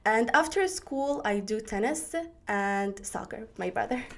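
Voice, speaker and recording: A woman's voice: on the high side, animated, a little slow, a bit bright. Teen girl, Arabic accent, Arabic native speaker, hesitant, searching for words. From Syria. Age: teens. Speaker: graduating student guest. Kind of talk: casual interview answers.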